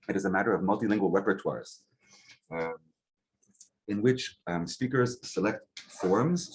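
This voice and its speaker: A man giving an academic lecture to a seminar, relaxed and conversational, with frequent um and uh and rhetorical "right?" checks. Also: low voice, low-quality recording. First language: English. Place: lecture hall. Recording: faint background noise, clicks.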